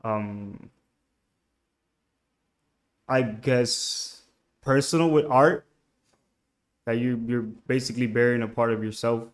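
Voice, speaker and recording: A young man from Puerto Rico with a Puerto Rican accent and Spanish as his first language, a musician speaking hesitantly and softly in a casual interview over a call, often trailing off mid-thought. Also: medium pitch, steady tone, takes it slowly, pauses often. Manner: hesitant, soft-spoken, trailing off. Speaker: musician guest.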